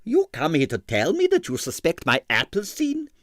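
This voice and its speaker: Excitable high-pitched ballet impresario. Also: male voice, normal speed, dry recording, studio quality. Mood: incredulous, scornful. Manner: exclamatory, theatrical, broken English. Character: excitable, imperious Russian male ballet impresario.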